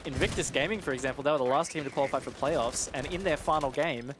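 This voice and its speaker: A man's voice: a little high, fast talker, noisy background. A man working as an esports commentator, giving live analysis in a conversational, explanatory way.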